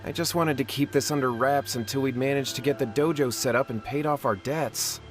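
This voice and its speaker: A man's voice: medium pitch, a little fast, faint music behind, natural voice. A man voicing a nervous young man in a video game, stammering and flustered. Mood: confessing. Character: nervous young man, scheming and easily cowed.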